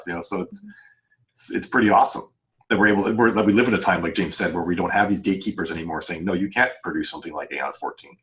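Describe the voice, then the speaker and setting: A man's voice: low voice, a bit bright, rough recording. A man speaking as a novelist on a podcast panel, chatting casually and explaining earnestly in a rambling way, often doubling back to rephrase.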